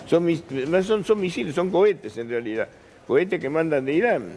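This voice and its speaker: A man's voice: fairly high pitch, animated, moderate pace, a little mellow, a little hiss or hum. A man with an Argentine accent, a news presenter improvising questions and repeating words as he forms them.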